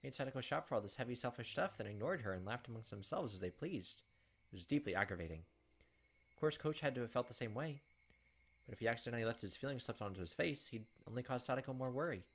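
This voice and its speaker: A man's voice: mid-range voice, lively intonation, fast talker, husky. A man hosting a let's play, reading game text aloud dramatically with casual asides. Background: game music.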